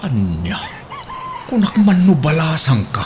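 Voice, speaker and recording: A man's voice: a little high, sing-song, measured pace, darkish tone, a little hiss or hum. A man with an Ilocano accent playing a smitten, compliant working man in a radio drama. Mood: surprised.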